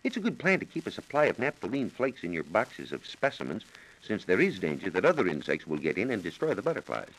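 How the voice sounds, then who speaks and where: Deep-voiced, lively 1940s radio science explainer, male. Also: fast talker, breathy edge, poor audio. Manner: precise, methodical, formal phrasing. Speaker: science reporter on a radio program. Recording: vintage 1940s radio broadcast recording.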